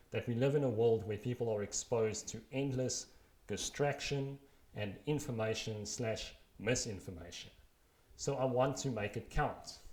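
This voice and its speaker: A man in his thirties with a South African accent narrates a scripted personal essay. His delivery is measured and earnest with a wry edge, his pitch sits mid-range, and the room adds noticeable echo. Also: a little mellow. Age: 30s. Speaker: blogger reading his own post aloud.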